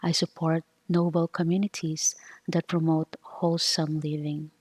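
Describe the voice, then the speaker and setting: A woman meditation leader giving a scripted devotional recitation in solemn, deliberate phrases. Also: deep voice, little pitch movement, darkish tone, dry recording.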